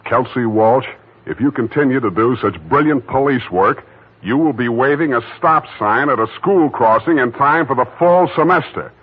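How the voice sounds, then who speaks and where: An actor playing a wisecracking hard-boiled private detective who also narrates, in 1940s radio acting style. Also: male voice, mid-range voice, moderate pace, breathy edge, natural voice. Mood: sardonic. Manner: dry sardonic wisecracks. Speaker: narrator and lead. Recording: tinny old radio broadcast with music.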